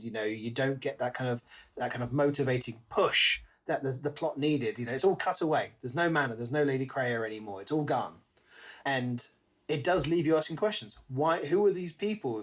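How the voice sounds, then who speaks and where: Male British podcast co-host, mid-range voice, casual and animated book talk. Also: mid-range voice. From Britain.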